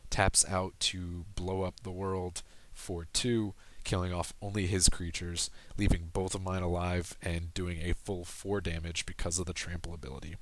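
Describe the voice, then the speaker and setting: A man with a low voice and little rise or fall in his pitch talks through a recorded card game. He explains each play patiently, pausing with ums and correcting himself as he goes. His voice sounds a little worn, as if he is losing it. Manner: steady explanatory, frequent ums and self-corrections. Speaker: gameplay commentator. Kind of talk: casual game commentary over screen recording.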